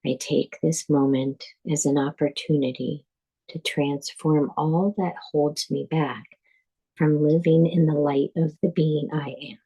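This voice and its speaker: A woman leads a guided meditation in a soft-edged, husky voice with a dark, relaxed tone. Her delivery is very slow, calm and deliberate. She gives instructions in short, measured phrases, leaving space between them. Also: deep voice, low-quality recording. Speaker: yoga nidra teacher.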